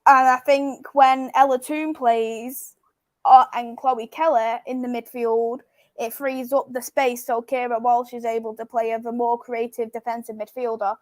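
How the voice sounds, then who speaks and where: Woman, watchalong host, fairly high voice, casual match talk, teasing. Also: little pitch movement, unhurried. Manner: earnest match analysis, teasing. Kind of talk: casual football chat.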